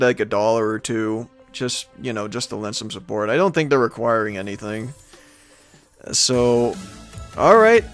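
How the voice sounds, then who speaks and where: A young man giving casual, hesitant, rambling gameplay commentary as a let's player, easily amused by what he plays. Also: medium pitch. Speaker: video game let's player. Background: game music.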